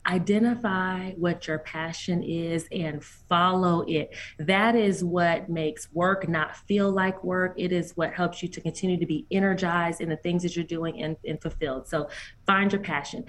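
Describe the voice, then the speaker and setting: A woman executive speaks as an interview guest in an earnest, encouraging way, often pausing with "um" and "you know." Her voice sits on the low side. Faint noise hums behind her. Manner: earnest, encouraging, with frequent fillers. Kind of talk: conversational interview.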